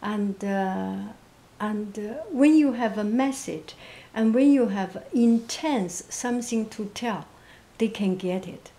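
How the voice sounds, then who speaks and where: Slow, soft-spoken woman, non-native English. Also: medium pitch. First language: not English. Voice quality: monotone, quiet, soft. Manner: hesitant, gentle, halting. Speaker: interviewee, painter and teacher. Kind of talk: reflective interview answers.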